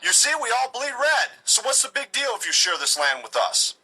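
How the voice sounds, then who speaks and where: A man's voice: very high-pitched, very animated, normal speed, bright, forceful tone, breathy edge, full character voice, low-quality recording. A man voicing a smug, preachy settler leader in a satirical cartoon, condescending and full of self-righteous pleading.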